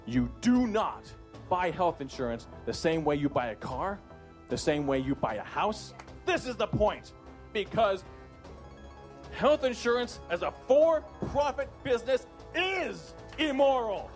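Man at a town hall mic, high and very lively, angry and pleading, faint music behind. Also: a little slow. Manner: angry, pleading, voice rising to shouts. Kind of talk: impassioned speech at a town hall. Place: public town hall meeting. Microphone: handheld mic.

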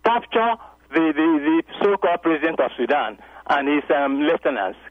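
A man calling into a radio show, talking haltingly with many fillers and growing insistent. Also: a little high, no room sound. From Nigeria. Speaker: caller. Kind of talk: radio call-in. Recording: tinny phone line.

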